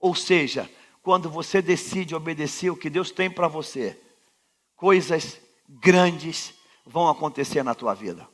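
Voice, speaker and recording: A Brazilian pastor with a Rio de Janeiro accent, preaching a sermon in a large church sanctuary, who poses rhetorical questions and repeats refrains, swinging from quiet to loud. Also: male voice, a little high, unhurried. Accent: Rio de Janeiro Brazilian Portuguese.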